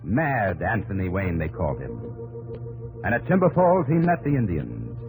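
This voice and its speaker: Dramatic, animated male radio narrator over music. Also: low voice, moderate pace. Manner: dramatic, declamatory.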